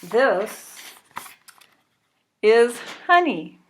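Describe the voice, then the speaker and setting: A woman reads a picture book aloud at a slow, deliberate pace. She is expressive and leaves pauses between phrases. Noise is clearly audible on the recording. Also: a little high. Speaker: storybook reader. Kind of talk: children's book read-aloud with asides. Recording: clearly noisy recording with clicks.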